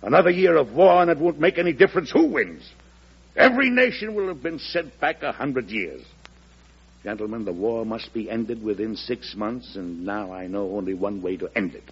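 An actor playing a grave, forceful American president in an old radio drama, speaking in a solemn, oratorical way. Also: male voice, medium pitch, sing-song, normal speed, vocal fry, breathy edge, heavily acted. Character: grave, impassioned American president pressing for war. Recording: muffled old radio recording.

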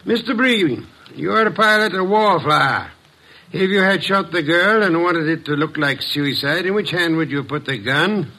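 An erudite, irritable detective of radio drama. The voice is highish and forceful, with a gravelly creak. He phrases everything formally and sardonically. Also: moderate pace, low-quality recording. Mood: accusing. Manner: pompous, wordy, formal, sardonic. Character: pompous, erudite, irritable male genius detective. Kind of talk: old-time radio detective drama acting.

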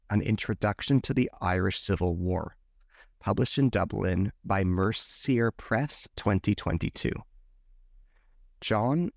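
A man's voice: low-pitched, vocal fry, no room sound, clean studio sound. A man hosting a history podcast, asking questions in a formal, ornate way. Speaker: podcast host.

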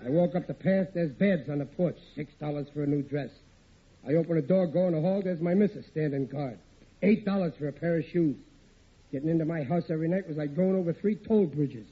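An actor playing a bumbling, folksy American working-class husband who grumbles in ungrammatical talk on an old radio sitcom. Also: male voice, fairly high pitch, a little fast, breathy edge, phone-quality sound. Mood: wry grumbling. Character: blustery, bumbling working-class family man at an aircraft plant. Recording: thin, noisy vintage radio recording.

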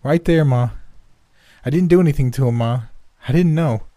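A man's voice: on the high side, mellow, dry recording, clean studio sound, voice pushed up, lightly acted. A man voicing a frightened young boy who babbles excuses to his mother in a rush. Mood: frantic pleading. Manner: rushed, breathless pleading.

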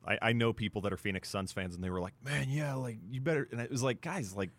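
A man co-hosting a sports talk show, explaining his thoughts in a casual, somewhat halting conversational way. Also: medium pitch.

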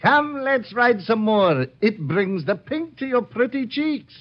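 High, drawling roguish deserter. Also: male voice, very animated, takes it slowly, soft background music, heavily acted. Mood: flirtatious. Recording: dull, lo-fi old radio recording.

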